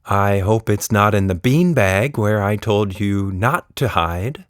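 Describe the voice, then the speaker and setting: A man voicing a busy storybook mother, patient but firm, flat and gently dismissive. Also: on the low side, slow, smooth, dry recording, clean studio sound. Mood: distracted, firm.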